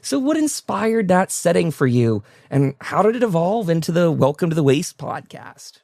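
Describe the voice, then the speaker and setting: A man's voice: on the high side, big pitch swings, normal speed, creaky, darkish tone, no room sound, studio quality. A man hosting a casual interview show, talking in an enthusiastic, effusive way. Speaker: talk show host.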